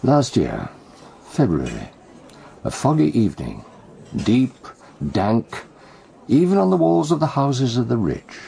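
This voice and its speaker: A man with a British accent acting in a radio drama as a brooding, enigmatic storyteller who recounts crimes with deliberate, theatrical phrasing. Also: mid-range voice, big pitch swings, gravelly, warm tone, full character voice. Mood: suspenseful.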